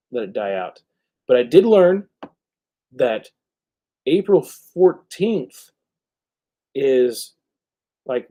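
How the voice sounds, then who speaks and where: A man hosting a live stream, chatting casually and rambling, often halting as he searches for words. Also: medium pitch, warm tone. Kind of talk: casual rambling movie chat.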